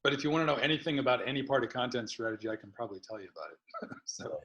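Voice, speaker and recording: A man's voice: mid-range voice, very fast, fairly bright tone, highs cut off. A man on a panel speaking casually and hesitantly about content work.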